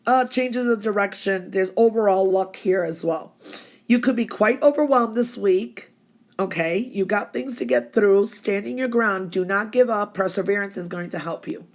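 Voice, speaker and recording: A woman with laryngitis, giving a chatty, reassuring fortune reading. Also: mid-range voice. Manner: chatty, reassuring, keeps saying okay. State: hoarse from laryngitis. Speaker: psychic reader. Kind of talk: tarot-style weekly reading.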